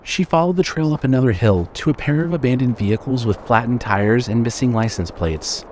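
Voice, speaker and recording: A man's voice: medium pitch, brisk, darkish tone, a little hiss or hum. A man reading scripted horror fiction as the narrator of an audio drama.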